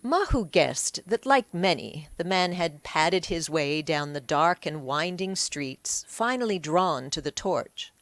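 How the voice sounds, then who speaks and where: Lowish, expressive woman narrating an audiobook. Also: takes it slowly, few pauses, no room sound. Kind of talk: scripted story narration.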